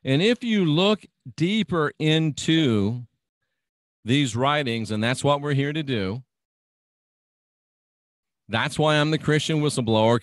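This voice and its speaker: Man, American accent, radio host, slow deliberate delivery, insistent preachy monologue, dry studio sound. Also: medium pitch, no room sound. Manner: emphatic, insistent, piles up repeated phrases.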